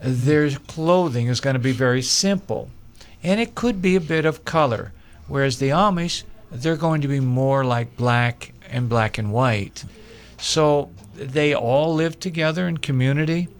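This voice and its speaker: Slow, measured older American man. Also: mid-range voice. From Pennsylvania, USA. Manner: slow, measured storytelling with filler pauses. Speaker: local candidate. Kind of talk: interview answers.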